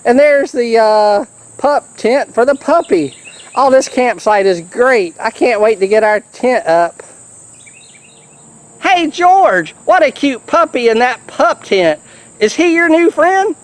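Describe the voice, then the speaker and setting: A man's voice: very high-pitched, animated, slow, exaggerated voice. A man playing a cheerful, folksy, friendly camper in a children's storybook role-play, chatty and exclamatory. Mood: delighted. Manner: chirpy, exclamatory, gently chatty. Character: cheerful folksy friendly man in the yellow hat, camping companion.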